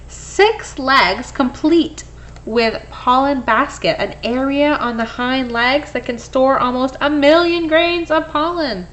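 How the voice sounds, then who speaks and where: High-voiced woman reading aloud, slow and animated. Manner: expressive, acts out dialogue. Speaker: picture book reader. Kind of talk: animated children's read-aloud.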